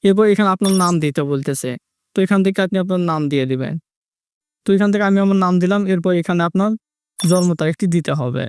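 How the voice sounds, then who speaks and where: A man's voice: high-pitched, fast talker, a little mellow, slight background noise. A man narrating a step-by-step tech tutorial, repeating his instructions as he goes. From Bangladesh. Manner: repetitive instructional phrasing.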